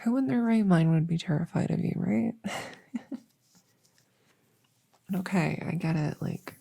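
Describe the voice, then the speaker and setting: Friendly female witch vet, acted by a woman for ASMR roleplay: mellow, unhurried, gentle, goofy, halting and reassuring. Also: on the low side, lively intonation, full character voice. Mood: fond, teasing. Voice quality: soft, breathy, often whispering, with creak. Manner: gentle, chatty, halting, teasing. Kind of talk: soft ASMR audio roleplay.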